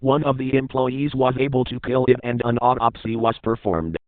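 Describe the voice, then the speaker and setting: A man narrates a scripted horror story as a documentary report, at an average pitch. The sound is thin and narrow, like a phone line, with very little room in it. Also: fairly even intonation, moderate pace, dry recording.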